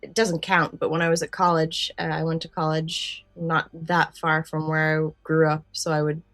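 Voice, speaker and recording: A woman's voice: sits low, flat delivery, normal speed, fairly bright tone, no echo, soft background music. A woman chatting casually as a podcast co-host. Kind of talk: casual chat.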